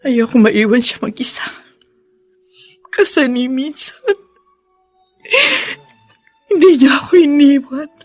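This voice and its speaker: A woman's voice: high voice, takes it slowly, long pauses, a little mellow, no room sound, soft background music. A woman playing a grieving, stubborn middle-aged widowed mother in a Filipino radio drama, with a tearful, emotional delivery and a Filipino accent.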